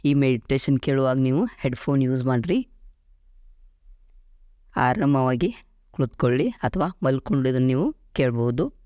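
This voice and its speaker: A man guiding a visualization meditation in a slow, soothing way with deliberate pauses. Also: medium pitch, lively intonation, a little mellow. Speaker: meditation guide. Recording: music behind the voice. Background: clear background music.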